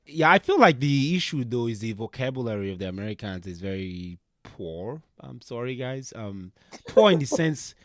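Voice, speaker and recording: A man from Nigeria hosts a relaxed podcast chat. He rambles a little and keeps hedging and correcting himself. His voice is mid-pitched and recorded dry and close. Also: moderate pace, dry recording. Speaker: podcast host.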